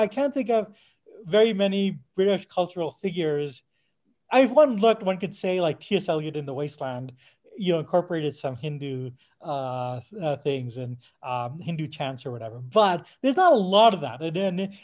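Man, fairly high pitch, casual podcast discussion, discursive, lots of "like" and "you know". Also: a little mellow. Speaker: podcast speaker. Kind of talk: casual discussion of music history.

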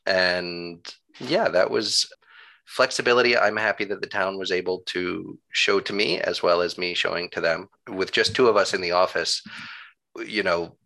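A man's voice: deep voice, steady tone, normal speed, creaky, bright, forceful tone, low-quality recording. A genial, good-humored man in his thirties, chatting casually as an interview guest. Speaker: interview guest, town clerk.

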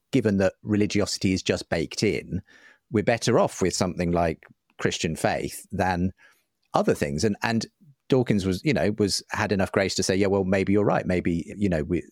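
Deep-voiced man, articulate interview guest. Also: normal speed, darkish tone, no room sound, clean studio sound. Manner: articulate, discursive. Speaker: interview guest, writer and broadcaster. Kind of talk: thoughtful conversational explanation.

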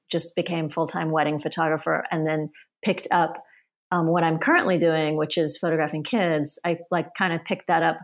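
A middle-aged woman, a photographer born in Sweden and raised in the United States, talking in a casual, unhurried way with frequent pauses and restarts. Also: low-pitched. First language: Polish. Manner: unhurried, hesitant, many ums and restarts. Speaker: guest, photographer. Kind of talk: casual interview chat.

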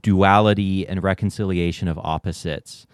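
A man's voice: deep voice, steady tone, moderate pace, clean tone, no room sound, studio quality. A man hosting a podcast interview in a casual, conversational style. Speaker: podcast host.

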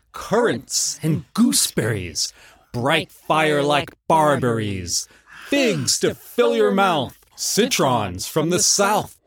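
A man voicing a chorus of goblin merchant men, hawking their fruit in a shrill sing-song cry. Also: fairly bright tone, full character voice. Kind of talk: dramatic poetry reading.

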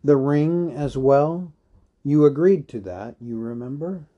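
Guy doing a grave old wizard from a storybook, slow and deliberate, quietly authoritative. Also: mid-range voice, highs cut off, pitched above the performer's own voice, natural voice. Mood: probing, firm. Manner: thoughtful, deliberate, firm. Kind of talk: dramatised storybook reading.

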